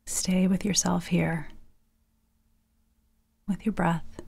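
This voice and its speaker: Breathy, low-pitched woman guiding meditation. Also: fairly even intonation. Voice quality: breathy, soft, near-whispered. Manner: gentle, slow phrases with pauses. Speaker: meditation guide. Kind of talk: guided sleep meditation.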